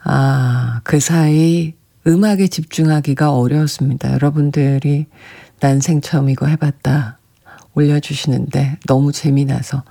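A radio host with a soft voice that sometimes drops to a breathy whisper, chatting gently and reading listener messages on a classical music show. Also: mid-range voice, fairly even intonation, a little slow. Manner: gentle, chatty, often laughing softly.

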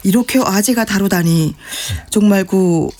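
A woman from North Korea with a North Korean accent, chatting as an interview guest and acting out dialect phrases in lively quoted voices. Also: medium pitch, measured pace. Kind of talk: casual interview chat.

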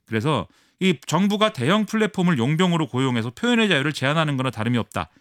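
Male pundit, quick and lively, higher voice, dry close sound. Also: no echo. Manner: fast, animated explaining with many fillers. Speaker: political commentator. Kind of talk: radio current-affairs analysis.

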